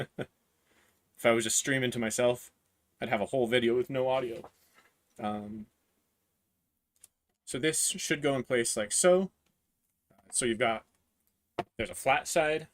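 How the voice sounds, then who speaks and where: A man in his thirties with a hoarse, lowish voice talks through a hands-on build on a live stream. He halts, searches for words and pokes fun at his own slips. His voice catches now and then, and he keeps clearing his throat. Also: a bit bright. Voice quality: voice cutting out, clears throat often. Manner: halting, searching for words, self-deprecating. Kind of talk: live build stream, casual talk while working.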